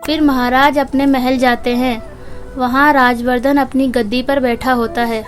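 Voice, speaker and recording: A woman narrates a children's moral tale in a high voice that stays very level. She reads from a script as a storyteller, with music playing underneath. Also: flat delivery, measured pace. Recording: music behind the voice. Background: background music.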